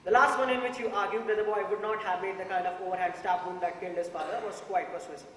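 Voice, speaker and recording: A man playing a methodical juror in an amateur stage play, laying out his argument deliberately. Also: high voice, fairly even intonation, a bit bright. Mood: firm, methodical. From India. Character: methodical male juror arguing firmly for guilt. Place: theatre stage, recorded from the audience. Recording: noisy echoey hall, far from mic.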